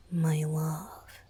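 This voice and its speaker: A woman voicing a tender, playful young male bard spirit in a soft, breathy whisper for a romantic ASMR roleplay, speaking in a halting, heartfelt way. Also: sits low, full character voice. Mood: teasing, intimate.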